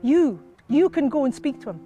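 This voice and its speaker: A woman's voice: high-pitched, sing-song, moderate pace, dark tone, dull audio, soft background music. A woman with a Scottish accent voicing fed-up villagers, urgent and imploring, in a live told story. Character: fed-up villagers pleading with their minister.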